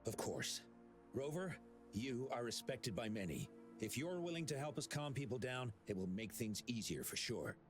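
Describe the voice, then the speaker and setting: A man playing a polite, earnest young official in a video game, courteous and a little hesitant but expressive. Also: mid-range voice, normal speed, close to an everyday voice. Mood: earnest, apologetic. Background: game music.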